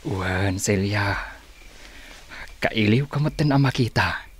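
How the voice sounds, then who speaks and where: A man's voice: on the low side, slow, husky. A man playing a tender, reassuring sweetheart in an Ilocano radio drama, soothing and sentimental.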